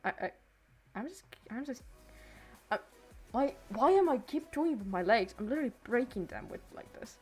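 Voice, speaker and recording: A woman's voice: on the high side, animated, moderate pace, darkish tone, soft background music. A teenage girl streaming from home on a desk microphone, chatting casually and hesitantly, trailing off and restarting her sentences. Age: late teens. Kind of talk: casual rambling stream chat.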